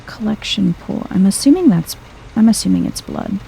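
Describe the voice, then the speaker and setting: A woman giving soft-spoken, chill ASMR-style commentary as she plays a video game, murmuring as if to herself. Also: medium pitch, big pitch swings, moderate pace, creaky, slight background noise, low-quality recording. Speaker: video game streamer, let's player.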